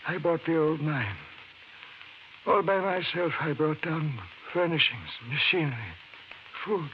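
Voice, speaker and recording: Muffled vintage radio sound carries an actor's portrayal of a failing old male professor. He is earnest and urgent, speaking in slow, halting phrases. His voice sits a little high and sounds frail, as if his strength is nearly gone. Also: slight background noise, close to an everyday voice. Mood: haunted, bitter. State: weak, failing. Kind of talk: old-time radio drama acting.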